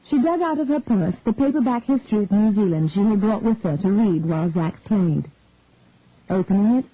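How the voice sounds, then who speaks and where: A woman reading a short detective story aloud in a measured, deliberate narration. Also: medium pitch, no echo. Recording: tinny phone-like dry sound.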